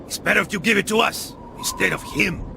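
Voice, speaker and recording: An actor playing a brash, mocking and excitable mercenary in a video game. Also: male voice, high-pitched, fairly quick, creaky, background noise. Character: brash, taunting mercenary companion of a knight. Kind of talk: dramatic video game cutscene acting. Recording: dramatic music behind the voice.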